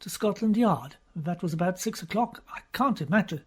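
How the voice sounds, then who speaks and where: A woman's voice: on the low side, expressive, moderate pace, darkish tone, slightly rough. A woman voicing a flustered, polite male detective who speaks hesitantly and stammers.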